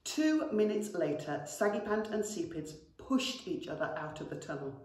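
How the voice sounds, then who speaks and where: Animated woman storyteller in an echoey room. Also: fairly low pitch, moderate pace. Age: middle-aged. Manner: animated, affectionate address to children. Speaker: schoolteacher reading to pupils. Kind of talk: expressive children's storytelling. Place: indoor school classroom. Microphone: camera a few metres away. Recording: very echoey classroom.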